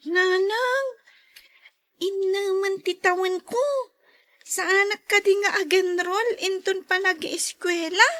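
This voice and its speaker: A woman's voice: very high-pitched, steady tone, takes it slowly, pauses often, fairly bright tone, full character voice. A woman voicing a small, sickly young child who pleads with a parent in a podcast drama. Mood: eager.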